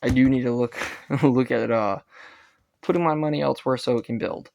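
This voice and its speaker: Casual man with a gravelly, creaky voice. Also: medium pitch, darkish tone. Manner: halting, self-correcting, unscripted. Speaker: hobbyist reviewer. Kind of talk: casual rambling gadget review.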